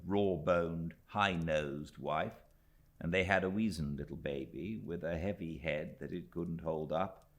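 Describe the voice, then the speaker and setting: A British man reading a classic novel aloud as an audiobook narrator, measured and expressive, with deliberate pauses. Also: sits low, gravelly. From Britain.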